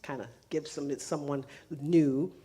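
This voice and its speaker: A woman's voice: low voice, steady tone, unhurried, mellow. A woman making casual announcements to a church congregation, chatty and laughing, with frequent restarts, speaking English as a second language. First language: not English. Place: church sanctuary.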